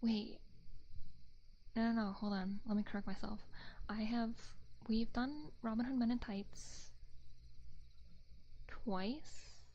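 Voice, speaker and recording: A woman livestream host chatting casually in a gentle, soft ASMR voice that often slips toward a whisper, close on a binaural microphone. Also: fairly high pitch, steady tone, takes it slowly, pauses often, lo-fi sound, a little hiss or hum. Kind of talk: soft-spoken ASMR chat with viewers.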